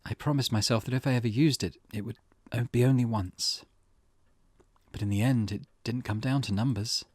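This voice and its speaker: A man's voice: medium pitch, steady tone, normal speed, slightly rough, no room sound, studio quality, voice pushed down, close to an everyday voice. A man voicing a guarded, subdued mother in a visual novel, speaking hesitantly. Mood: resigned. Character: guarded, subdued mother weighing a desperate choice.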